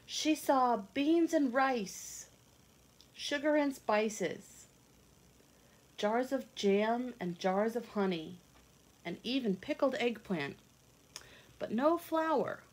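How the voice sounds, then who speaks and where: A woman in her thirties reading a picture book aloud for children in a deliberate, sing-song, teacherly way, with asides to the listeners. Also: a little high, expressive, poor audio. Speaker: read-along storyteller for children. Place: indoor rooms at home.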